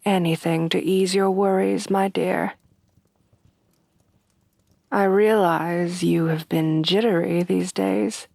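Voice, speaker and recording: A woman voicing a refined, devoted headless horsewoman bride in a soft, breathy, courtly ASMR roleplay, often dropping to whispers. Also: lowish voice, steady tone, slow, long pauses, no echo, exaggerated voice. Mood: gentle, doting. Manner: refined, formal, courtly, tenderly doting.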